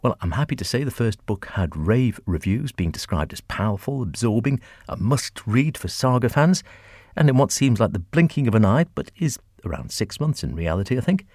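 A man hosting a radio interview, asking questions in a lively, conversational way. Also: mid-range voice, moderate pace, hardly any pauses, no echo, studio quality. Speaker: radio presenter.

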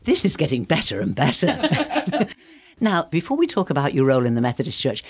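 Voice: medium pitch, wildly swooping pitch, brisk, slightly rough, dry recording. A radio presenter reading scripted introductions and interviewing guests.

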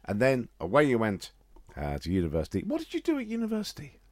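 A man hosting a radio music show, interviewing a guest in a relaxed, curious way. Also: mid-range voice, fast talker, dry recording, studio quality. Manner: animated, curious questioning.

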